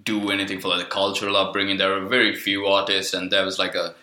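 A man's voice: sits low, level tone, quick pace, bright, forceful tone. Sri young man, casual rambling guest with a piercing tone, recorded in a reverberant room. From Sri Lanka. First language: Sinhala. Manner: rambling, lots of fillers and swearing. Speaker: guest artist. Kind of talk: casual podcast chat. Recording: echoey room.

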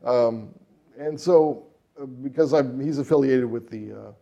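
A man's voice: mid-range voice, unhurried, gravelly, warm tone, muffled recording. A middle-aged man, a jazz trumpeter and composer, answering interview questions in a rambling way with many fillers and restarts.

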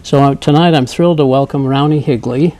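A man's voice: mid-range voice, brisk, a little mellow. A man hosting an interview show, welcoming a guest and encouraging her with short interjections. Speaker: host.

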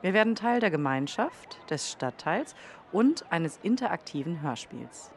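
A woman's voice: on the low side, very animated, a little slow, slight background noise. A woman reading scripted podcast narration as the host.

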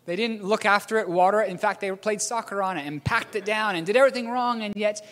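A man's voice: sits high, quick pace, fairly bright tone. Man preaching with hall reverb. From New Zealand. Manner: rambling, self-correcting, chuckles at own slips. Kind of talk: storytelling sermon.

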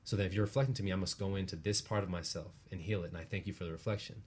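A man with a fairly deep, husky voice talks as a guest teacher. He explains spiritual ideas earnestly and conversationally, often ending a thought with a quick tag question to check the listener follows. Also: fairly even intonation, darkish tone. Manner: earnest, explanatory, often tags phrases with "right?". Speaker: guest, relationship practitioner and teacher.

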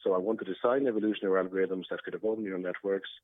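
A man with a Swedish accent speaks as an interview guest, his voice sitting on the low side. He talks in a halting way, with frequent fillers and restarts. The sound is dry and squeezed into a narrow, phone-like band. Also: steady tone, no echo. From Sweden. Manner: hesitant, many fillers and restarts. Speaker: guest, computer science professor. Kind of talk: conversational interview. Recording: tinny phone-like line.

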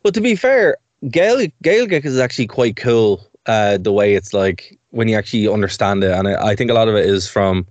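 A man's voice: on the low side, expressive, brisk, few pauses, a little mellow, no echo, highs cut off. A young Irish man co-hosting a community radio show, chatty and rambling, laughing easily between points. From Ireland. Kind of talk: casual radio chat.

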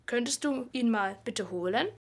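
A man's voice: very high voice, normal speed, creaky, fairly bright tone, heavily acted. A young boy playing a confident, matter-of-fact police officer in an amateur radio play. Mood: matter-of-fact, smug. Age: child or young teen.

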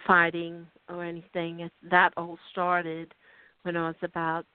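An older woman from South Africa with a South African accent, a guest author telling her life story in a radio interview, unhurried and pausing with ums and restarts. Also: lowish voice, monotone, clear, resonant tone, dry recording. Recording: dry tinny phone line.

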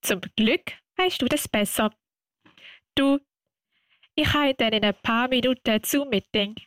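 A busy mother acted by a woman reasons patiently, a little harried, in a radio play. Her voice sits slightly high, in a dry recording. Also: measured pace, a bit bright, dry recording.

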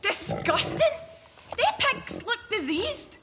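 A woman's voice: extremely high pitch, very animated, slow pace, pushed and bright, a little hiss or hum, exaggerated voice. A woman acting in a radio drama as a bratty, melodramatic young girl who relishes mischief and disgust. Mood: disgusted glee. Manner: melodramatic, petulant, gasping exclamations.

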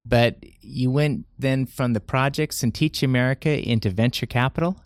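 A man hosting a conversational interview, asking questions in a halting, hesitant way. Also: medium pitch, dry recording, studio quality. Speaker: interview host.

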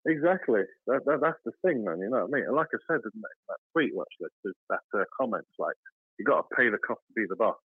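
A man's voice: fairly low pitch, lively intonation, fast, breathy edge, no echo. A man calling into a show, casual and agreeable, repeating words as he talks. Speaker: caller. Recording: phone line.

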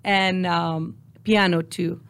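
A woman's voice: on the low side, steady tone, slow pace, gravelly, a bit bright, smooth. A woman in her forties from Mexico with a Mexican Spanish accent, answering interview questions in a podcast studio with many fillers and restarts. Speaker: guest.

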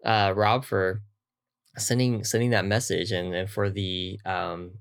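Lower-pitched male podcast co-host. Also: level tone, unhurried. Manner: informal, repeats short phrases. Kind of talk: casual chat, reacting.

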